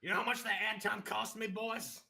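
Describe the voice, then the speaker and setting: A man playing a gruff older father who runs a cigarette business, coughing and blustering. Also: sits high, fairly even intonation, fairly quick, bright, forceful tone, exaggerated voice.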